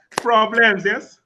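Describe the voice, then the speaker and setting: High, bright man joking. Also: a little hiss or hum. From Trinidad. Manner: jokey, laughs often, peppers talk with "you know". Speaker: talk show guest. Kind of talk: casual chat, comic storytelling. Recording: dull lo-fi livestream audio.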